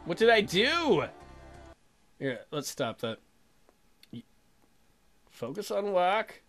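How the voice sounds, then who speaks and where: A man's voice: sits high, very animated, slow pace, a bit bright. A man hosting a let's play with casual, irreverent commentary, joking crudely and thinking aloud over game music.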